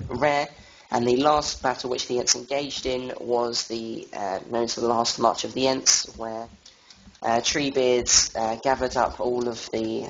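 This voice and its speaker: A young man with a cold giving an informal lore talk as a tour guide, halting and full of fillers. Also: medium pitch, fairly bright tone, slight background noise. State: has a cold. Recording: dull lo-fi voice chat audio.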